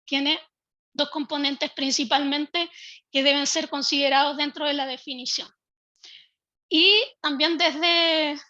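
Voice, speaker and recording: Woman, high bright voice, measured lecture delivery, dry lo-fi audio; panel speaker. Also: no echo. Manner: measured, explanatory, occasional restarts. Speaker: speaker from a public early-education institution. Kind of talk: online panel talk.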